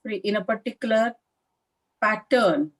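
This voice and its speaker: Slow-paced woman guiding meditation. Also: medium pitch. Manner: calm, measured, with long pauses between short phrases. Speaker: meditation teacher. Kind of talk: guided meditation instruction, live session.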